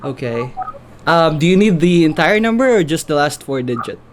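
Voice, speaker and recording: A man from the Philippines with a Filipino accent talks casually and haltingly, a native Filipino speaker. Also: a little high, lively intonation, slight background noise. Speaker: vlogger. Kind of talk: casual conversation and commentary.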